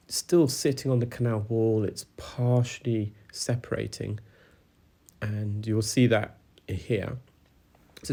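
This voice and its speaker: A man's voice: mid-range voice, darkish tone. A British man working as an audiologist, narrating a clinical demonstration with a stuffy, congested voice from a cold and frequent hesitant fillers. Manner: explanatory, hesitant with many fillers.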